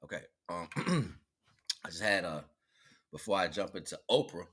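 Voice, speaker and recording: Very deep-voiced man musing philosophically. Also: expressive, a bit bright, breathy edge. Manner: philosophical, wordy, halting, with rhetorical questions. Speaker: streamer giving spiritual talks. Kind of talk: rambling spiritual monologue to an audience.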